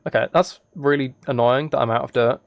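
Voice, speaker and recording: A man's voice: mid-range voice, fairly quick, creaky, lo-fi sound, slight background noise. Rambling man chatting on a casual livestream. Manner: rambling, thinking aloud; soft-spoken, relaxed. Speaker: live streamer. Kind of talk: casual livestream chat while gaming.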